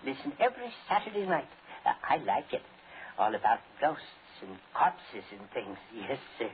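A man's voice: mid-range voice, very animated, moderate pace, close to an everyday voice. A man playing an eager, polite old salesman with folksy, rambling talk in a 1940s radio drama. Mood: eager, flustered. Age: older. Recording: scratchy, tinny 1940s radio recording.

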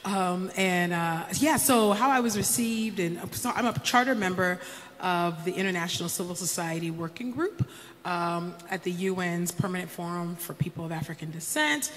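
A woman's voice: lowish voice, lively intonation, moderate pace, fairly bright tone. A woman speaking as a panelist, conversational with wry asides. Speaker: panelist and advocate. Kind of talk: panel discussion. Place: conference hall stage. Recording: echoey hall, faint noise.

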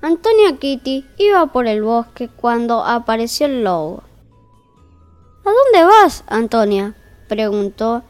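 A woman's voice: fairly high pitch, big pitch swings, slow, faint music behind. A woman playing an exasperated, bossy narrator in a comic fairy-tale audio drama. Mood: storytelling. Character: exasperated, bossy storyteller wrangling unruly characters.

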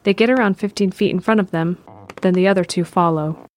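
A woman's voice: fairly low pitch, brisk. A woman reading scripted narration for an audio drama. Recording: music behind the voice.

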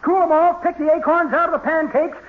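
Very high-pitched folksy old-timer. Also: male voice, fairly even intonation, fairly quick. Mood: boastful. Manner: folksy, rambling storyteller. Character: cranky, boastful old-timer spinning tall tales. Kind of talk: 1940s radio comedy acting. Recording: noisy, tinny old radio recording.

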